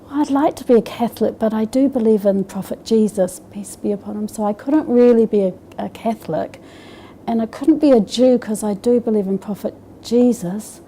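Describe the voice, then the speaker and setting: A woman's voice: on the high side, normal speed, warm tone, slight background noise. A middle-aged woman from Scotland, raised in New Zealand, speaking with a New Zealand accent, giving a hesitant personal testimony with many fillers and restarts. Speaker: interviewee.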